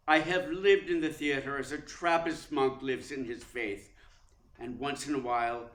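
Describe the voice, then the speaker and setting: An actor playing a suave, pompous theatre critic in a radio play, articulate and slyly needling. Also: male voice, mid-range voice, a bit bright, natural voice. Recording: roomy, echoing sound.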